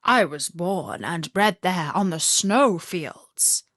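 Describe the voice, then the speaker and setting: A woman's voice: lowish voice, animated, takes it slowly, a bit bright, no room sound, clean studio sound, heavily acted. A woman voicing a proud, stately reindeer in a storybook, wistful for a snowy homeland.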